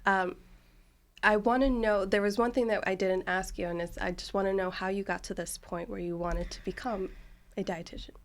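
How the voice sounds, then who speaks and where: A woman hosting a podcast and interviewing a guest in a warm, enthusiastic, casual way. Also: medium pitch, steady tone, normal speed. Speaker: podcast host.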